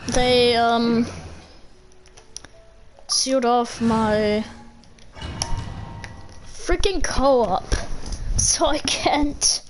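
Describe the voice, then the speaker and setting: A woman's voice: fairly high pitch, very slow, long pauses, poor audio. A woman doing casual gameplay commentary as a streamer, complaining in a blunt way. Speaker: game streamer. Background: game music.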